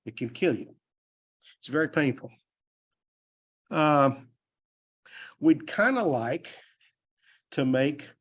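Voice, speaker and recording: Older Texan man giving a folksy talk. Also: mid-range voice. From Texas. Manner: plainspoken, self-deprecating, loose and rambling. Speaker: conference speaker. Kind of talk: folksy technical presentation. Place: university convention hall.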